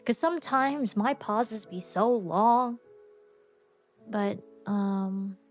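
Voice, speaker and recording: A tired woman hosting her own podcast, rambling casually to listeners, laughing often and pausing a lot with plenty of fillers. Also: medium pitch. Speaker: podcast host. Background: soft background music.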